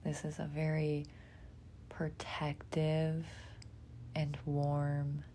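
A woman guides a meditation in a low, mellow voice. She speaks very slowly and gently, with a breathy softness and long pauses between short phrases. A faint low rumble sits behind her. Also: completely flat delivery. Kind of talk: guided imagery meditation, slow and soothing.

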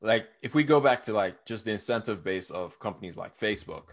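A young man hosting a podcast interview, talking in a casual, slangy, animated way and laughing often. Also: fairly low pitch, brisk. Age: young adult. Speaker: podcast host.

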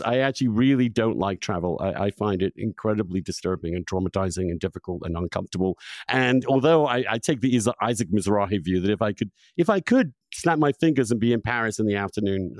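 A man hosting a conversational interview podcast, talking in an effusive, halting way and repeating words for emphasis. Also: fairly low pitch, darkish tone, dry recording. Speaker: podcast host. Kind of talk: conversational interview with long personal introduction.

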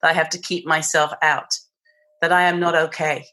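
British woman, podcast guest, lowish voice, reflective, pauses to find words. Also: little pitch movement, pushed and bright, faint music behind. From England. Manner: thoughtful, searching, restarts mid-phrase. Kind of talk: reflective conversational interview.

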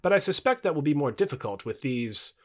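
A man's voice: on the high side, very animated, fairly quick. A man voicing a gloomy, distrustful nineteenth-century male rebel against machines in a dialogue reading. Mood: wary.

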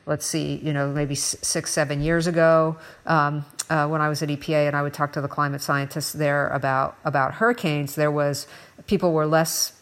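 An American woman co-hosting a conversational podcast, speaking reflectively and haltingly, repeating words as she goes. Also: deep voice, steady tone. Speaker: podcast co-host. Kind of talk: conversational current-events discussion.

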